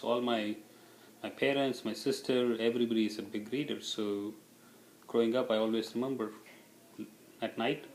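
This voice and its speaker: A man's voice: mid-range voice, echoey room, slight background noise, low-quality recording. A middle-aged man from India, a native Tamil speaker with an Indian accent, answering interview questions in a casual, reflective way.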